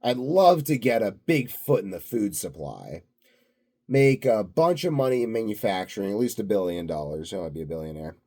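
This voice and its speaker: A man's voice: on the low side, sing-song, moderate pace, warm tone. A self-assured, blunt man rambling unscripted to his viewers in a grandiose solo monologue. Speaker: solo video host.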